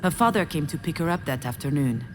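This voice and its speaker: A woman's voice: deep voice, animated, fast, noisy background. An actress playing a troubled, self-doubting woman, confiding her thoughts in introspective video game narration. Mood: reflective. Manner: confiding, reflective. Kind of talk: scripted video game voice acting, introspective first-person narration. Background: game music.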